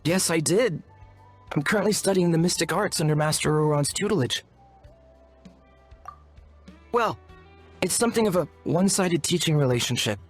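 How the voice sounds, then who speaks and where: A man's voice: on the high side, expressive, normal speed, pauses often. An actor playing an earnest, courteous and wordy young shaman apprentice in a video game, speaking in a formal, polite manner. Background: music.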